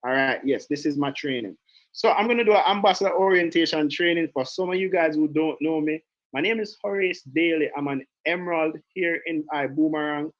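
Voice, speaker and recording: Brief: Jamaican man, fairly high voice, rambling trainer on a muffled call. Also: a little fast. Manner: chatty, repeats himself, goes off on tangents. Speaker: sales team leader giving a training. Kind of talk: casual online training call.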